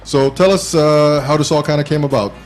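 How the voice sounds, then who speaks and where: A man in his fifties casually hosting an internet talk show from a small studio, with a halting, informal delivery. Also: a little high, fairly even intonation, darkish tone, faint music behind. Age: 50s.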